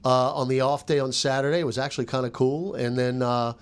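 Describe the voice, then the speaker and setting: A man's voice: medium pitch, fairly even intonation, brisk, clean tone. A man who works as a sports radio announcer, chatting casually in a roundtable with emphatic, punchy remarks.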